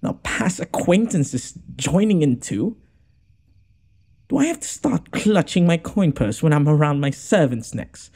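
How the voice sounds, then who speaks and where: Man playing a haughty, expressive fantasy noble lord. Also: on the high side, a little slow, slightly rough, exaggerated voice. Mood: offended, exasperated. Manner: theatrical, sarcastic, exasperated sighing delivery. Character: haughty, wounded young noble lord, sarcastic yet caring. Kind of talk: scripted audio roleplay addressed to the listener.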